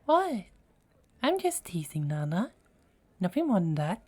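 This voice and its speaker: A woman's voice: fairly low pitch, very animated, dark tone, husky, full character voice. A woman playing a teasing, self-assured and soothing Norse goddess in an ASMR roleplay audio drama. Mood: playful, teasing. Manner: teasing, chuckling, unhurried.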